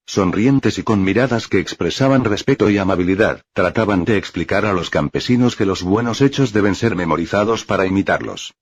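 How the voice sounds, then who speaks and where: Deep husky man reading a story. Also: no echo. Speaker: narrator. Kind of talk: reading a first-person book aloud. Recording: dull lo-fi dry recording.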